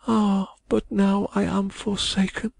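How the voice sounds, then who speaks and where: A man's voice: high-pitched, little pitch movement, slow pace, gravelly, breathy edge, voice pushed up, full character voice. A man reading a novel aloud, voicing an anguished, proud young woman who pleads and trembles as she speaks. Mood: despairing. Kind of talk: audiobook character voice.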